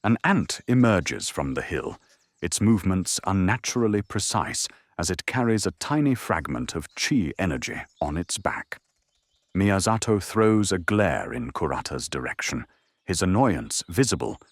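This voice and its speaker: A man's voice: deep voice, sing-song, unhurried, no room sound, clean studio sound. A man delivering dramatic scripted narration for an audio drama. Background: faint music.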